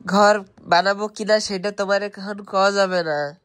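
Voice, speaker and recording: A man's voice: high voice, fairly even intonation, measured pace, a bit bright. A young man with an East Bengali accent, chatting casually with family as a home vlogger.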